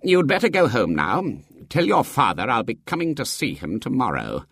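Fawning apologetic vicar, raised voice, as the reader puts him on, husky, dry. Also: male voice, medium pitch, wildly swooping pitch, normal speed, fairly bright tone, no room sound, voice pushed up, full character voice. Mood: curt. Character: obsequious fussy male country vicar, middle-aged.

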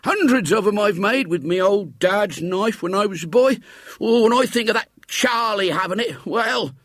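A man's voice: sits high, expressive, moderate pace, no room sound, clean studio sound, pitched above the performer's own voice. A man voicing a cheerful, boastful old man with country dialect, in a storybook reading. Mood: delighted.

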